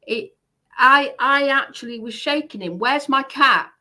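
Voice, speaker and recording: A woman's voice: sits high, a little slow, bright, forceful tone. A woman giving a heated personal account on a livestream, speaking in an indignant, emphatic way and repeating herself for stress.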